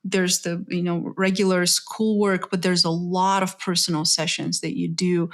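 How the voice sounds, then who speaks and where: Low-voiced woman telling her story. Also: level tone, moderate pace. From Bulgaria. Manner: reflective, thoughtful storytelling. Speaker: author and somatic therapist guest. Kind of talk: casual interview conversation.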